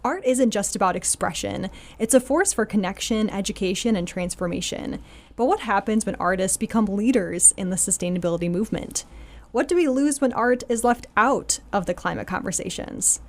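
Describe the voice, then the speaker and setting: A woman's voice: medium pitch, moderate pace, darkish tone. A woman hosting a podcast, reading a scripted intro and asking guests questions in an upbeat, encouraging way. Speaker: podcast host.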